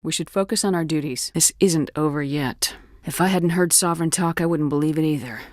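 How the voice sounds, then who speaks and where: A tough female military commander from a video game, acted by a woman. Her voice sits on the low side and is recorded close and dry. She speaks with firm authority and a guarded, wry edge. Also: a little fast, darkish tone, no room sound, close to an everyday voice. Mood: firm, reflective, then grim, determined. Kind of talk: video game dialogue acting.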